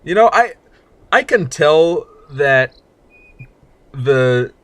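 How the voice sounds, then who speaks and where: A man's voice: on the high side, big pitch swings, drawn-out words, a bit bright, no echo. Rambling, jokey male game streamer. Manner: rambling, jokey, stammers and restarts. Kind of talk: casual gameplay commentary.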